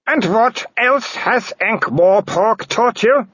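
A man voicing an imperious older aristocratic werewolf woman with a shrill, drawn-out delivery. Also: lively intonation, a bit bright, husky, dry recording, dull audio, exaggerated voice. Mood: scornful. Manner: shrill, drawn-out, screaming in rage.

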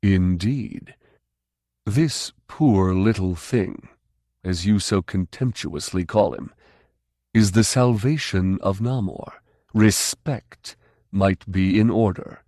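A slow, solemn and pedantic man, as the narrator puts him on, who speaks with deliberate, dryly formal weight. His voice sits low and unhurried in a close, dry recording. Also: mellow, dry recording. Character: solemn, pedantic large male trader-scientist.

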